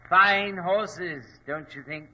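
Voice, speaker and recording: A man's voice: sits high, slow. An actor playing a sinister, courteous mortician and henchman, politely menacing in a 1940s radio drama. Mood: oily politeness. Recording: dull, noisy 1940s radio recording.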